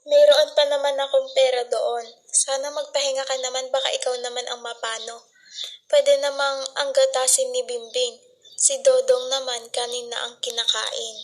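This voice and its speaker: A woman's voice: high-pitched, flat delivery, normal speed, natural voice. A teenage Filipino girl playing a devoted, poor young wife and mother in a student radio drama. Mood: worried. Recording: music behind the voice.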